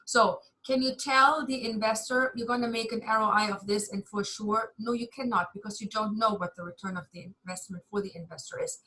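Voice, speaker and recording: Bright-voiced German woman lecturing online. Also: mid-range voice, fairly even intonation, brisk, few pauses, slight background noise, rough recording. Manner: chatty, candid, self-deprecating with light laughs. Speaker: university lecturer. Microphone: video call.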